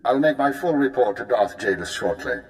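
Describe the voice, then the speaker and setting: An actor playing a formal, commanding intelligence handler who gives orders in measured lines, in a video game cut-scene. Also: male voice, medium pitch, animated, lightly acted. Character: brisk senior male intelligence handler. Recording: roomy echo with faint noise.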